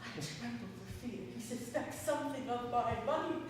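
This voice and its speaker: A woman's voice: high voice, animated, normal speed, fairly bright tone. A woman acting a furious, suspicious old miserly father in a stage farce, scolding and bullying in exclamations. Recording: echoey hall, distant mic, noisy.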